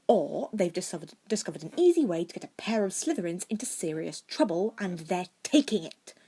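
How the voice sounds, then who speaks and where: A haughty young schoolboy, played by a woman narrator, pitched a little above the storytelling voice. He is dramatic and suspicious, stressing his words emphatically in a storybook reading. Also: big pitch swings, a little fast, mellow, slightly rough. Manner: emphatic, indignant.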